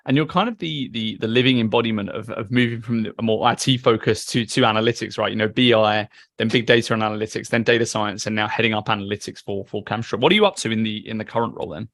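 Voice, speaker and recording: Fast-talking gravelly male podcast host. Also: fairly low pitch, fairly even intonation, fairly bright tone, no echo, studio quality. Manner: quick, casual questioning with fillers. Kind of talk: podcast interview.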